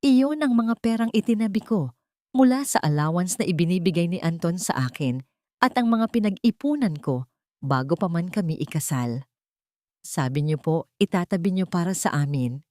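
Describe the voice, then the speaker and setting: A woman's voice: on the low side, animated, normal speed, mellow, dry recording, close to an everyday voice. Narrator woman as a wounded humble wife, Filipino accent, polite, pleading, audio story, dry sound. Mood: hurt pleading.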